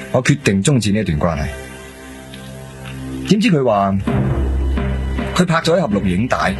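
A man's voice: mid-range voice, natural voice. An actor playing a coolly cooperative, evasive businessman under questioning in a radio drama, with a Hong Kong Cantonese accent. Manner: cool, evasive, measured, peppered with English words. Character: guarded, slick businessman suspect, middle-aged, coolly cooperative.

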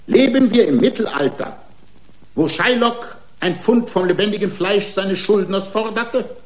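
An actor playing an aggrieved, excitable racing driver in a radio drama, pleading his case in indignant, long-winded, formal rhetoric. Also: high-pitched, a little slow. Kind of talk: radio drama acting, courtroom speech.

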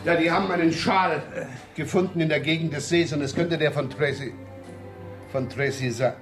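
An actor playing a gruff, sardonic middle-aged police inspector in a 1970s radio crime drama, muttering dryly. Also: male voice, on the high side, normal speed, faint music behind, close to an everyday voice. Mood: brisk, apologetic.

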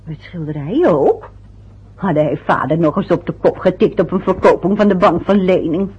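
A woman's voice: fairly low pitch, moderate pace, slightly rough, muffled recording. An actress playing an anxious, fussy middle-aged mother in an old radio play, chatty and eager to please. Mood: fretful.

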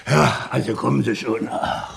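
An actor playing a weary but shrewd older chief inspector in a radio crime comedy, musing and repeating himself. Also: male voice, medium pitch, fairly even intonation, moderate pace, gravelly, fairly bright tone, faint music behind.

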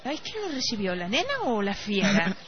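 A woman's voice: medium pitch, sing-song, moderate pace, a crowd in the background, natural voice. A woman playing a chatty, gushing older housemaid and devoted fan in an Argentine radio play. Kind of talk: amateur radio play acting. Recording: dull lo-fi radio recording.

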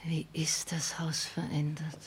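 An actress playing an ambitious, ruthless countess in a 1960s German radio drama, intense, imperious and biting in formal speech. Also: female voice, low-pitched, monotone, takes it slowly, slight background noise. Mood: tense, bitter. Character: ambitious, ruthless countess scheming against her dying father.

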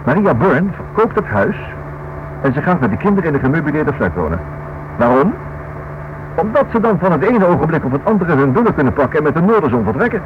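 A man's voice: on the high side, very animated, quick pace, background noise. An inquisitive writer in an old radio drama. He reasons aloud insistently with very mobile intonation, circling back over his words. The sound is dull and band-limited. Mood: excited reasoning. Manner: thinks aloud, insistent, repeats himself. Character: inquisitive, stubborn married man writing a book. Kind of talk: radio play acting.

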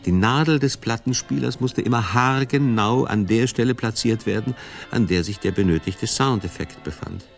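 A man with a low voice narrates a radio documentary from a script. It is a studio recording with faint music at times. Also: vocal fry.

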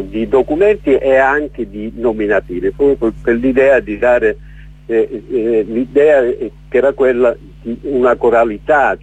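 A man's voice: mid-range voice, darkish tone, slightly rough, no room sound. A middle-aged man from Calabria, an author speaking as a radio interview guest, hesitant with many fillers and restarts. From Calabria, southern Italy. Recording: muffled lo-fi radio broadcast.

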